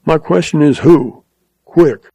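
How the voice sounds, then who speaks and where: A man's voice: fairly high pitch, slow, vocal fry, dark tone, dry recording, voice pushed up, full character voice. A man as a grim, terse cowboy gunman. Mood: menacing. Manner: terse, gritted, hard-bitten frontier talk. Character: tall tough cowboy rustler hunter, grim and menacing.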